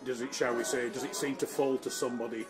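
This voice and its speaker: Middle-aged male host, casual and chatty, medium pitch, clear music in the background. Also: little pitch movement, fast talker. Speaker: music show interviewer. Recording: music playing behind the voice.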